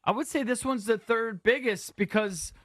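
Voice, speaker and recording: A man's voice: very high voice, moderate pace, fairly bright tone, dry recording, clean studio sound. An American man co-hosting a sports radio show, thinking aloud in an informal, hedging way. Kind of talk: casual sports talk.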